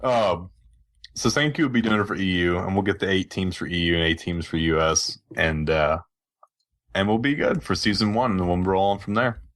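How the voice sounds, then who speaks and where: A man with a low voice hosts a live gaming podcast. He talks informally and rambles a little, as in casual chat among co-hosts. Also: normal speed, fairly bright tone. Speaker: podcast host.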